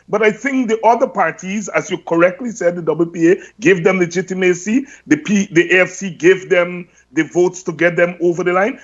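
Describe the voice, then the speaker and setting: A man speaking as a guest on an online panel discussion, measured and thoughtful, often pausing with "um". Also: high voice. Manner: measured, thoughtful, many ums. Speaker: panel guest, party member. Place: home room, indoors. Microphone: video call. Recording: dull lo-fi video call audio.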